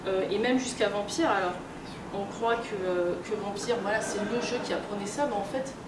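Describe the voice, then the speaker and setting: Woman lecturing informally, distant noisy room recording. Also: medium pitch. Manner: colloquial, hesitant, loosely explanatory with many filled pauses. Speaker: speaker, role-playing game researcher and editor. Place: indoor room at a games convention. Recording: roomy, distant, noisy live convention recording.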